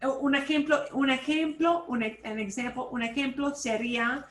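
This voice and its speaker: A woman teaching a Spanish class over a video call, switching between Spanish and English and repeating phrases slowly. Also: high-pitched, fairly even intonation, a bit bright, echoey room. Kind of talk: online class instruction.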